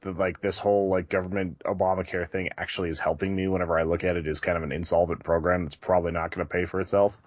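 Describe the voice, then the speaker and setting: A man's voice: deep voice, little pitch movement, quick pace, hardly any pauses, no room sound. A man co-hosting a podcast, talking casually and candidly in a conversational, self-deprecating way. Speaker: podcast co-host.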